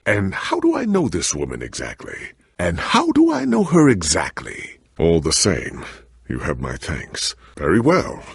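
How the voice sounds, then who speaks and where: Game role: noble ailing warrior, earnest and grave, very husky, slow delivery with heavy pauses. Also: male voice, low-pitched, huge pitch swings, no echo, clean studio sound, exaggerated voice. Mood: courteous, grave. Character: weary, weakened warrior hero, confused and ailing, noble and earnest. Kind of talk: dramatic video game voice acting.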